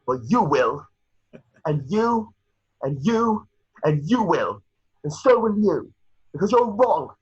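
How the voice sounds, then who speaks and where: A man's voice: high-pitched, big pitch swings, slow, long pauses, a little mellow, husky, lo-fi sound, pitched above the performer's own voice, heavily acted. A man acting a defiant young man in a screenplay table read, declaiming with impassioned force as he rallies fighters.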